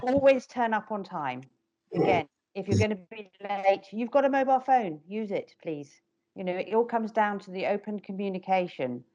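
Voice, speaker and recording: A woman's voice: mid-range voice, expressive, normal speed, darkish tone. A middle-aged woman and parent carer speaks firmly and directly on a panel video call. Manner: firm, direct and emphatic. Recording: dull lo-fi call audio.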